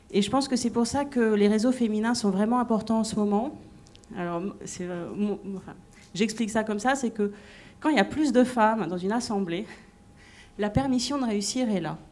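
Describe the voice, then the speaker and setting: A woman's voice: medium pitch, warm tone. Hesitant woman career coach. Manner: hesitant, thoughtful, many fillers. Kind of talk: panel discussion answer.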